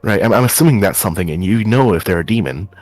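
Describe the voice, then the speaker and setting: A man's voice: on the low side, animated, quick pace, a little mellow, no echo, faint music behind, close to an everyday voice. A man playing a wary, sceptical questioner in an improvised anime game roleplay, stammering and repeating words as he presses. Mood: doubtful, pressing. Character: wary, sceptical male questioner distrustful of demons.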